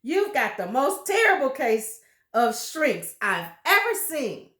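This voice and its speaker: A woman putting on a spiteful old man from a storybook, jeering and gloating over his frightened wife. His voice swings widely and lands its insults slowly and loudly, in an echoey room. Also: high-pitched, very animated, pitched above the performer's own voice, exaggerated voice. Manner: taunting, mock-alarmed, jeering. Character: nasty gloating old husband, cruel trickster.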